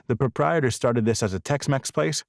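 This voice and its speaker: A man's voice: mid-range voice, normal speed, creaky, dry recording, studio quality, pitched above the performer's own voice, lightly acted. A man voicing a confident, ambitious businessman of about thirty in a romance audiobook, easygoing and reassuring.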